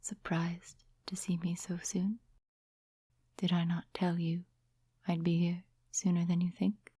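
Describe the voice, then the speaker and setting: A woman playing a composed, courteous, quietly mysterious witch in a soft-spoken ASMR fantasy roleplay, with a whispery, breathy voice and gentle, formal, unhurried phrasing. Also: lowish voice, no room sound, studio quality. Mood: composed, teasing.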